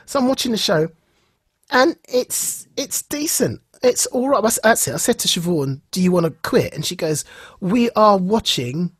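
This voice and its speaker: A man co-hosting a podcast in a casual, enthusiastic way, telling stories in an animated manner and acting out bits of dialogue. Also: high voice, moderate pace, husky, no room sound, clean studio sound. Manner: animated storytelling, acts out reported dialogue. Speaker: podcast co-host.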